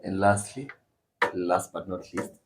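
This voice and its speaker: A man's voice: low voice, unhurried, heavy background noise. Boastful Kenyan man, podcast host. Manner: boastful, joking. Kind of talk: casual podcast banter.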